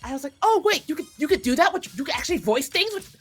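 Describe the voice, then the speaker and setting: A woman voice actor being interviewed, chatting casually during a video game in an excitable, halting way, often interrupting herself. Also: sits high, soft background music. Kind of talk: casual chat while playing a video game.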